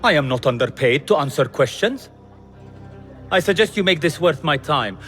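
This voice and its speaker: A haughty official in an echoing hall. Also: male voice, a little high, lively intonation, moderate pace, a bit bright. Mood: haughty, bargaining. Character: venal male court official, self-important and grudging. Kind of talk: video game cutscene acting. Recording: long echoing hall, music behind.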